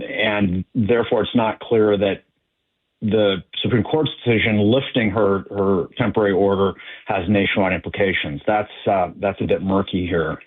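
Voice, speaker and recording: A man as a legal analyst guest on a news interview, explaining in a measured, halting way with many fillers. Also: lowish voice, husky. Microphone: telephone. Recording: tinny phone line.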